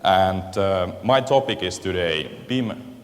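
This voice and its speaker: Finnish guy giving a conference talk, real low voice, kinda halting, lots of hall echo. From Finland. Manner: hesitant, searching for words in a second language. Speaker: guest speaker at a conference. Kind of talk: conference presentation. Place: large lecture hall. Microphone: handheld microphone. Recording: reverberant lecture hall.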